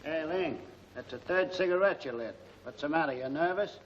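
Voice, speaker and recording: Guy playing old movie tough, needling, slightly high voice, muffled and a little distant. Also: moderate pace, lightly acted. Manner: blunt, slangy, challenging. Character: suspicious, needling gangster tough. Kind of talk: 1940s film serial acting. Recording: distant, muffled, faintly noisy 1940s soundtrack.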